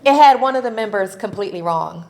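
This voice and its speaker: A woman advocate speaking on a convention panel, animated and laughing often, ending her points with "right?". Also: medium pitch, quick pace, creaky, a little hiss or hum. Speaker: faith-based advocacy panelist. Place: convention session room.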